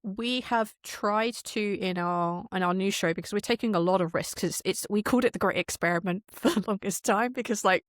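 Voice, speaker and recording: A woman's voice: mid-range voice, brisk, few pauses, no room sound. Candid woman chatting in a dry-sounding recording. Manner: candid, informal, explains at length with rhetorical questions. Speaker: podcast guest, indie audio drama producer. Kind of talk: casual interview chat.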